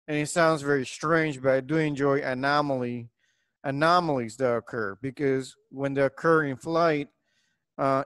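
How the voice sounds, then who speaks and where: A middle-aged man from Puerto Rico, a native Spanish speaker with a Puerto Rican accent, lecturing on engineering in a halting way with frequent pauses. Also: medium pitch, smooth, dry recording, clean studio sound.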